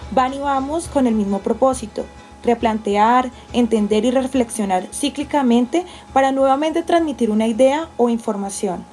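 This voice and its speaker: A woman's voice: fairly high pitch, a little mellow, close to an everyday voice. A young woman playing a formal letter writer from a past era in a student radio drama. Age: young adult. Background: music.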